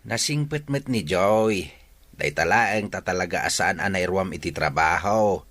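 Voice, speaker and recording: A man's voice: low voice, expressive, measured pace, fairly bright tone, no echo, full character voice. A man acting a hearty, generous middle-aged uncle in an Ilocano radio drama, with an Ilocano accent. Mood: gossipy.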